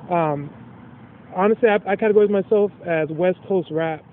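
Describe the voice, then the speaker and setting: A man's voice: sits high, normal speed, slight background noise. Candid streetwise man on a tinny line. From Los Angeles. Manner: candid, streetwise, swears freely. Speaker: rapper guest. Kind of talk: casual interview chat.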